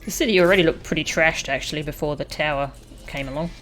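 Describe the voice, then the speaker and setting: A woman's voice: deep voice, quick pace, fairly bright tone. A woman game streamer giving casual commentary on a playthrough.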